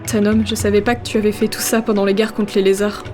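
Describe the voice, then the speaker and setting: A woman's voice: medium pitch, level tone, fast, darkish tone, faint music behind, lightly acted. A woman playing a practical insect adventurer in an audio drama, matter-of-fact and conversational. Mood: surprised.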